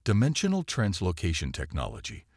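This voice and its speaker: Man as a deep-voiced, calm male scientist. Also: a little fast, no echo, studio quality, heavily acted. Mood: matter-of-fact. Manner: explanatory, matter-of-fact. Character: calm male human scientist, explaining matter-of-factly.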